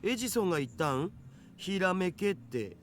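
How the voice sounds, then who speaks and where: A man's voice: sits high, very animated, quick pace, fairly bright tone. A man voicing a young, skeptical geek sidekick in a Japanese visual novel, slangy and questioning. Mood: doubting.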